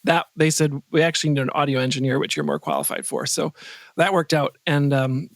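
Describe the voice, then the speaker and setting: Middle-aged man, higher-pitched, halting casual talk. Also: fairly even intonation, no echo. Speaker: podcast guest, composer and sound designer. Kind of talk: casual interview chat.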